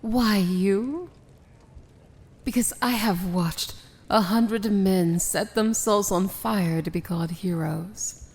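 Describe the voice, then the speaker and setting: Woman playing a slow-spoken, regal evil princess. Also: medium pitch, a little mellow, lightly acted. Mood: tender, earnest. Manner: measured, regal, deliberate, formal. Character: proud, dangerous evil princess, commanding yet tender. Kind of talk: ASMR fantasy romance roleplay, dramatic monologue.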